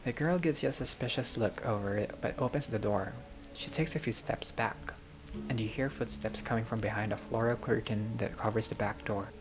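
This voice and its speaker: A video game player reading a visual novel aloud as narration, with casual personal asides and expressive delivery. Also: medium pitch, normal speed. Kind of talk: playthrough narration with casual reactions. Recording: music behind the voice. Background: game music.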